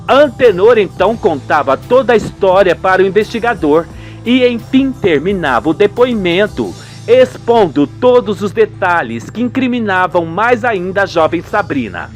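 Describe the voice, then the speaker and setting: A man with a Brazilian accent giving dramatic scripted narration for a radio soap opera. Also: high-pitched.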